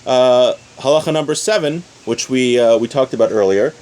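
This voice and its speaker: Male religious teacher, mid-pitched, informal class delivery, explanatory, restates points in plain everyday terms. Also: normal speed, a little mellow. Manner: explanatory, repeats and rephrases points with everyday examples. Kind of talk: informal religious lesson, reading source text then explaining.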